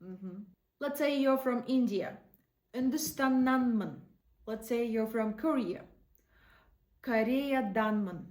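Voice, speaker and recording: A woman from Kazakhstan with a Kazakh accent, teaching her language in an online lesson, explaining slowly and repeating words for practice. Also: on the high side, a little mellow, echoey room.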